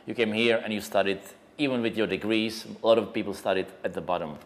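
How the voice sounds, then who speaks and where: A man in his thirties speaks in a lowish voice. He is thoughtful and halting, with fillers along the way. It is a documentary interview in a cafe.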